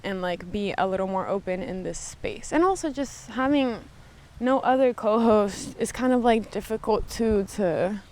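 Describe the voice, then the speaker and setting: A woman's voice: moderate pace, darkish tone. A woman hosting a podcast alone, giving casual, candid commentary in a conversational way and swearing freely. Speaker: podcast host. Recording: noisy background, vehicle-like ambient rumble.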